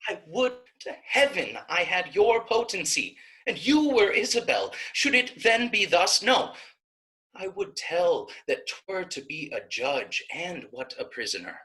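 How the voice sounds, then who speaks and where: Impassioned young maiden pleading, acted by a woman, wide-swinging pitch. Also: very animated, unhurried, a bit bright, rough recording. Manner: impassioned, rhetorical verse delivery. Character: pleading young maiden Isabella, passionate and righteous. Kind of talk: Shakespeare staged reading.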